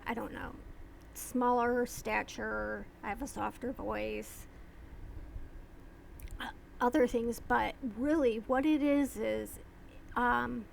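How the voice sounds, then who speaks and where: Slow, gravelly-voiced woman vlogger. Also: fairly high pitch, slight background noise, low-quality recording. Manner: slow, rambling, with many pauses and restarts. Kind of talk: casual talk to camera.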